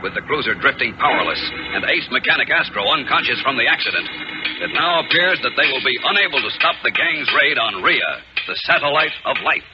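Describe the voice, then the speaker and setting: A man narrating a 1950s radio serial in an emphatic, theatrical announcer style. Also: a little high. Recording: dull 1950s radio recording. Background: loud dramatic music.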